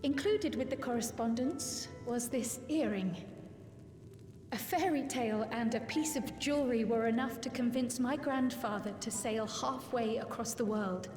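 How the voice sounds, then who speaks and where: A woman's voice: a little high, a little slow, a little mellow, lightly acted. A woman voicing an earnest, determined young scholar-envoy in a video game cutscene, formal, articulate and politely resolute. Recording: long hall reverb with music.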